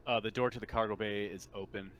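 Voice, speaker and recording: A man's voice: on the low side, steady tone, quick pace, fairly bright tone. A man narrating a tabletop roleplaying game as game master, describing things in a conversational, hesitant way. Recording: noticeable background noise.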